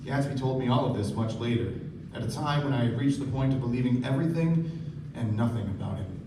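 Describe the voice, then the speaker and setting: A man's voice: mid-range voice, fast talker, background noise, lightly acted. A man acting a reflective young narrator who recalls wealthy friends in scripted literary prose for a live radio play. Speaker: actor narrating. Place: stage of a live performance. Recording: echoey stage from far, music behind.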